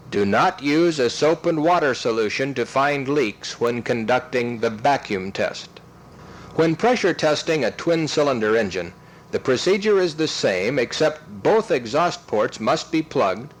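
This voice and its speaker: A man reads scripted instructional narration in a methodical, step-by-step way, enunciating clearly. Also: mid-range voice, rough recording. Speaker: training film narrator.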